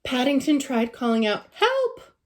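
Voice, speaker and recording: A woman's voice: on the high side, lively intonation, a little slow. A woman teacher reading a children's storybook aloud with expression.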